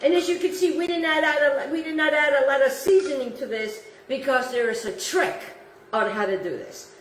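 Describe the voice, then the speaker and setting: A woman's voice: high-pitched, brisk, recorded from a distance. A woman from Italy whose first language is Italian, hosting a casual home cooking demonstration and chatting as she works, repeating herself and talking to the viewer. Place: home kitchen. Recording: echoey kitchen, voice off-mic.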